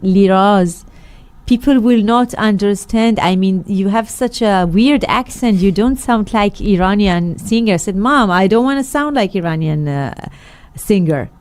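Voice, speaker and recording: A woman's voice: mid-range voice, normal speed, darkish tone. A middle-aged woman, a singer, telling her story in an interview with many fillers and restarts. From Israel. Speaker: guest, singer. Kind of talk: interview conversation.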